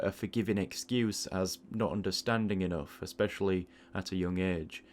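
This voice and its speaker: A man's voice: deep voice, a little hiss or hum. A man giving a thoughtful, explanatory spoken monologue as an artist hosting an audio log, correcting himself as he goes. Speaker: artist and video host.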